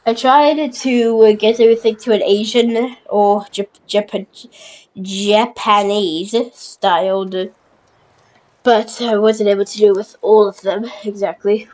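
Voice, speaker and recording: A woman with a cold and a stuffy, blocked nose talks her way through a park-building game in an easy, offhand way. Her sentences start and stop, full of fillers and self-corrections, with small excited lifts when something cute appears. Her pitch sits right in the middle. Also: poor audio. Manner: halting, with many fillers and restarts. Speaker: gameplay video creator. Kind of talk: casual gameplay commentary.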